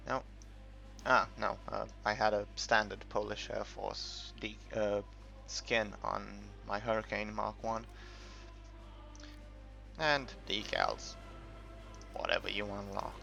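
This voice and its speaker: Slow, gravelly man over muffled game commentary. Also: on the low side, a bit bright. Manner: hesitant, rambling, thinking aloud. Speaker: gamer making a game tutorial. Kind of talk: casual unscripted game walkthrough commentary. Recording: muffled, dull recording with background music.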